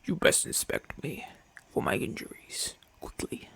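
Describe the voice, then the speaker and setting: A man playing a proud, haughty Roman gladiator in an ASMR audio roleplay, imperious and formal, often dropping to a breathy murmur. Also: a little slow, a bit bright, lightly acted. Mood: annoyed, reluctant. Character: proud, haughty Roman gladiator champion, gruff but softening.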